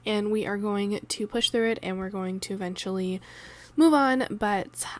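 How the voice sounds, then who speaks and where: A woman in her thirties with a raspy voice from a cold, chatting loosely and laughing in a planner voiceover. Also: medium pitch, moderate pace. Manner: rambling, chatty, laughs often. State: sore throat from a cold. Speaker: planner vlogger. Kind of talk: casual voiceover chat. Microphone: voiceover mic.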